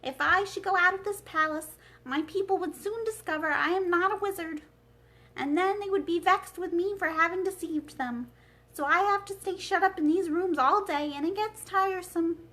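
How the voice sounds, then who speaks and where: Woman acting out an emphatic, high-pitched weary humbug wizard. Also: moderate pace, sharp tone, low-quality recording. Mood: weary, confiding.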